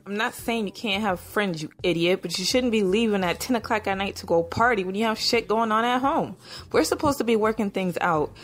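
A woman's voice: mid-range voice, a little fast, natural voice. A woman playing an angry, distrustful and stubborn wife in an audio drama. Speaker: actor and narrator in an audio drama.

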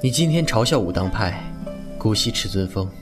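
A man's voice: lowish voice, expressive, normal speed, over loud music, natural voice. A man playing an earnest, cautious young martial-arts hero in a Chinese radio drama, serious and plain-spoken. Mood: grave.

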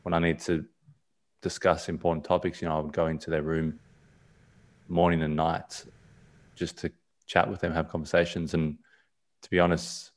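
A man's voice: low voice, little pitch movement, normal speed, long pauses. A man, a podcast guest talking casually over a video call from a small room at home, often repeating words and restarting. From South Africa, raised in Australia. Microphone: video call webcam.

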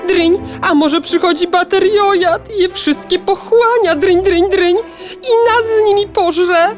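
A woman's voice: very high-pitched, unhurried, faint music behind. A woman voicing a fearful, dramatic toy telephone in a children's audio play, panicky and full of exclamations. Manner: panicky, exclamatory, interjecting ringing sounds. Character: frightened, dramatic toy telephone that cries out in alarm.

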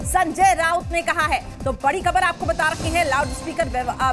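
A woman anchoring live breaking news with an emphatic, repetitive headline delivery. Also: extremely high pitch. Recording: loud dramatic news music behind. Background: dramatic news music.

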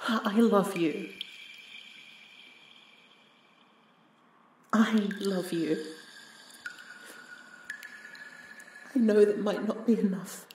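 A woman performing a sorrowful, forgiving woman in an audio drama, soft and tearful, speaking in a halting, earnest and tender way. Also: mid-range voice, lightly acted. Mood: tender, pleading. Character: sorrowful, forgiving woman reconciling with her wounded past self. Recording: roomy, distant mic, faint noise.

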